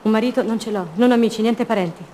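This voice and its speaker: A lonely, wounded young woman in love acted by a woman speaks in an intimate radio play monologue. Her voice sits fairly high, and she shapes short, lyrical phrases full of longing. Faint ambient noise lies behind her. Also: racing, dark tone, natural voice. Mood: bleak, lost.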